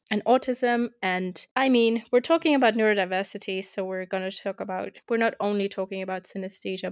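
A woman's voice: medium pitch, no echo, studio quality. A woman in her twenties from Germany with a German accent, casually introducing her podcast as host in English, her second language. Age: 20s. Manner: chatty and upbeat, with fillers and laughs.

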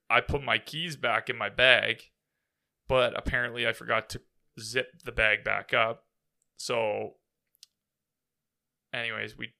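A man hosting a podcast, chatting casually in a slightly raspy voice and rambling through a story with many fillers. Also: lowish voice, pushed and bright. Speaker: podcast host. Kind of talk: casual chat.

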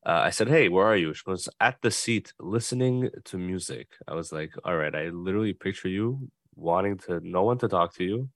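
A young man from Canada, co-hosting a podcast and teasing his co-host in relaxed banter. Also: deep voice, brisk, few pauses, no room sound, studio quality. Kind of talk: casual chat.

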